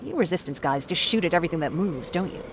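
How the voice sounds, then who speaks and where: A low-voiced wry scavenger. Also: female voice, animated, background noise. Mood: wry, wary. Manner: brisk, wry, streetwise. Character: streetwise young female scavenger, wry and wary. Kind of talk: game voice acting, companion dialogue.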